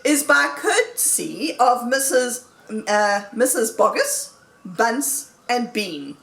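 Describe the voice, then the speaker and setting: A woman's voice: fairly high pitch, big pitch swings, slow, fairly bright tone, reverberant, full character voice. A woman voicing a boastful, jubilant male fox who gives grand, rallying speeches in a storybook. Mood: pleased.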